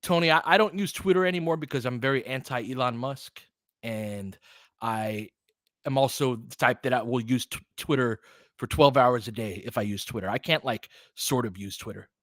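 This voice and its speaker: A man's voice: medium pitch, moderate pace, a bit bright, no room sound, studio quality. A man doing casual live basketball commentary as a livestream host, talking informally and directly to his viewers.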